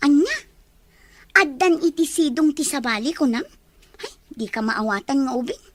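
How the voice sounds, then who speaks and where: High-pitched nosy auntie played by a woman. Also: animated, moderate pace. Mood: probing. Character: nosy, blunt older female relative, an auntie. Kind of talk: Ilocano audio drama acting.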